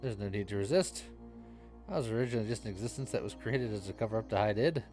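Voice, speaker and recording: A man voicing a bitter, brooding young male split personality from a video game in a solemn, deliberate manner. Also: on the low side, expressive, darkish tone, soft background music, lightly acted. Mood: brooding, resigned.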